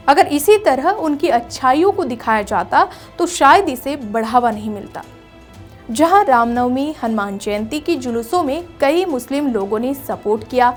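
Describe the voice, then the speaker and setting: Woman news narrator, higher-pitched voice, earnest rhetorical delivery, music underneath. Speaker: news presenter. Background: background music.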